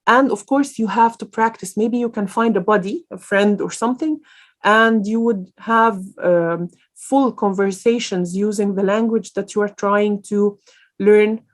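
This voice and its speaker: A woman's voice: medium pitch, unhurried. Woman lecturing online, lots of uhs and restarts, easygoing q&a tone. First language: Arabic. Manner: informal and hesitant, many fillers and restarts.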